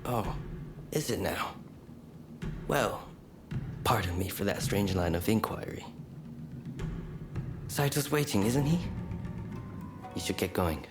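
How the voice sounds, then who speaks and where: A gentle young swordsman confined to his sickbed. His voice is low, soft and breathy, with a polite, self-effacing manner suited to game drama. Music plays behind him. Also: expressive, takes it slowly, pauses often. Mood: polite, musing. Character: gentle, courteous, bedridden ailing young male swordsman. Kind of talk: dramatic video game acting. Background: game soundtrack music.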